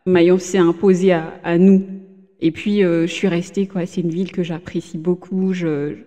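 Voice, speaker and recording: A woman in her thirties talks as a podcast guest in relaxed conversation. Her voice is warm and mellow, and she hesitates often, restarting her sentences. A long reverb trails behind her words. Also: fairly low pitch, normal speed. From Benin. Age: 30s. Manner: hesitant, many fillers and restarts. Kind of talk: casual interview chat. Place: room in a home in Germany.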